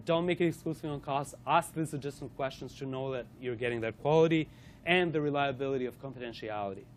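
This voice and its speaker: A man's voice: mid-range voice, fast talker, a little hiss or hum. A man presenting a corporate lunch-and-learn to a live audience, running a playful quiz and talking with frequent fillers and restarts.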